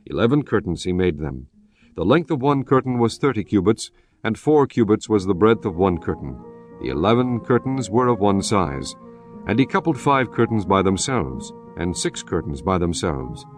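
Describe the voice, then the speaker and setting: Low-voiced man narrating scripture over music. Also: a little mellow. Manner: measured, formal. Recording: music bed behind the voice.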